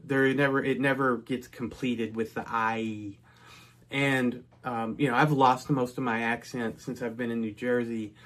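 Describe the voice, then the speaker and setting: A man's voice: mid-range voice, level tone, a little slow, fairly bright tone. A middle-aged man from North Texas, casually telling a personal story in a chatty way, with frequent restarts and asides.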